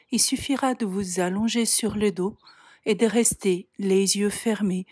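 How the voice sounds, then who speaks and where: A woman guiding a yoga nidra relaxation in a calm, soft, breathy voice, giving measured instructions with frequent pauses. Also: medium pitch. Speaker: yoga nidra meditation guide. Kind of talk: slow guided relaxation meditation.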